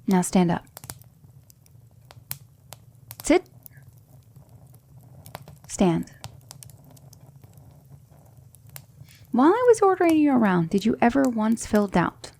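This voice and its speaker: A woman playing a patient, composed demon magic teacher in a soft-spoken ASMR roleplay, measured and reassuring. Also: a little high, sing-song. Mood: confident, instructive. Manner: measured, instructive, reassuring, with hushed breathy dips.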